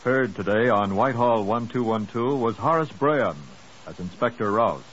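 A man's voice: lowish voice, moderate pace, a bit bright. Old time radio announcer guy reading credits, muffled sound w some hiss. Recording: muffled, noisy 1950s radio recording.

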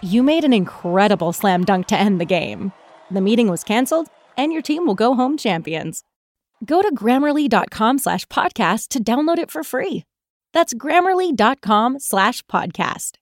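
A woman's voice: a little high, expressive, moderate pace, dry recording, studio quality, natural voice. A woman playing an upbeat courtside sports reporter in a scripted ad parody of a post-game interview. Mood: excited, earnest.

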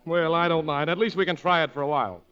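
An actor playing a dry, sardonic stage comic and unruffled suitor in 1940s radio drama style. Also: male voice, a little high, fast, fairly bright tone, clear, resonant tone, close to an everyday voice. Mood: wry. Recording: muffled vintage radio recording, crowd noise behind.

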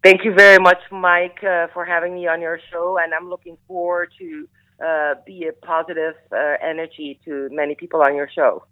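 A middle-aged woman from Belgium who speaks with a Flemish accent. She chats as a radio guest and often pauses on "uh" and "um". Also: fairly low pitch, lively intonation. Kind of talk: casual interview chat. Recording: tinny phone line.

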